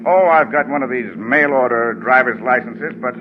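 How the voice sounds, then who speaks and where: A man's voice: medium pitch, moderate pace, close to an everyday voice. A man acting an unsuspecting, mildly fretful traveler in old-time radio drama style. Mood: mildly worried. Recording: muffled, faintly noisy old radio recording.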